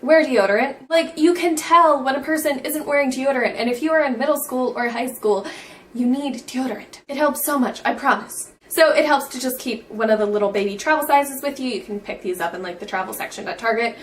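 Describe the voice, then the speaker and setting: A woman's voice: high-pitched, fairly quick, recorded from a distance, a little hiss or hum. A teenage girl in a casual beauty vlog, chatty and bubbly, saying "like" all the time. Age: teens. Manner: bubbly, says "like" constantly. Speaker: beauty vlogger.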